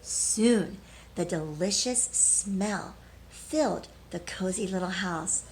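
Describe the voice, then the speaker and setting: A woman teacher reading a storybook aloud expressively, pausing to put questions to young listeners. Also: fairly low pitch, darkish tone, a little hiss or hum.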